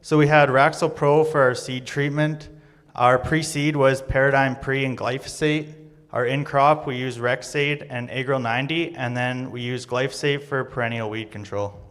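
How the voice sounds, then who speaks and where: A man's voice: mid-range voice, fairly even intonation, normal speed, fairly bright tone, clean tone. Young guy from saskatchewan reading crop numbers off slides, long hall echo. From Saskatchewan, Canada. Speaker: agriculture student presenter. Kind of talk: class presentation from slides. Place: college auditorium stage.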